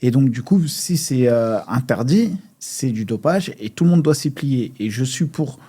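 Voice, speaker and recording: Emphatic man with a soft, husky voice. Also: mid-range voice, measured pace. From France. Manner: animated, emphatic, many restarts and fillers. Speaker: MMA fighter guest. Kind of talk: casual podcast discussion.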